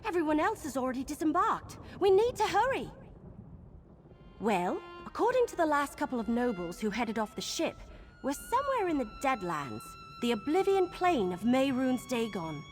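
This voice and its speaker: An actress playing a bold, suspicious woman adventurer in a video game, brisk and informal. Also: sits high, big pitch swings. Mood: urgent. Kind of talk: scripted video game dialogue. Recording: long reverb, game music behind.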